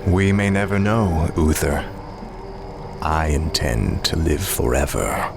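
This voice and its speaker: An actor playing a ruthless young prince turned death knight who talks coldly and without remorse, in a game cutscene with music. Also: male voice, very deep voice, expressive, takes it slowly, creaky, heavy background noise, full character voice. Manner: cold, clipped, unrepentant.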